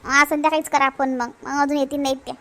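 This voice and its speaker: Female performer as dutiful rural wife, insistent, Varhadi Marathi accent, shrill-high cartoon voice. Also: little pitch movement, fast talker, a bit bright. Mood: insistent, concerned. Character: dutiful, insistent village wife pressing her husband. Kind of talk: amateur cartoon family drama dialogue.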